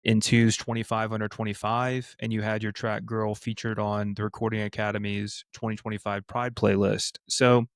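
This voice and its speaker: A man hosting a podcast, asking measured, prepared interview questions. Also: lowish voice, flat delivery, dry recording, studio quality. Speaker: podcast host.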